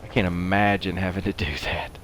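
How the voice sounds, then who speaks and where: A man's voice: deep voice, little pitch movement, a little fast, a bit bright. A middle-aged man giving casual, rambling commentary while he plays a video game. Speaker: gaming show host. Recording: faint background noise.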